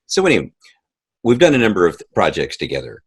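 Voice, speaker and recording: A middle-aged man with a very low voice that swings widely in pitch as he recounts stories in an interview. He talks in halting stretches and slips into quoting conversations. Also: huge pitch swings, husky. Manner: halting, acts out remembered dialogue. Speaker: podcast guest, audio drama creator.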